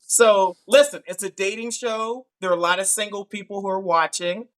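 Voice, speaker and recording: A man's voice: high-pitched, fairly even intonation, moderate pace, fairly bright tone. A man hosting a live online dating show over a video call, teasing his guest and laughing often.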